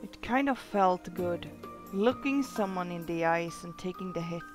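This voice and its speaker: A woman's voice: fairly low pitch, lively intonation, normal speed, music in the background, lightly acted. A woman voicing a guarded teenage girl's wry, reflective inner thoughts in a visual novel.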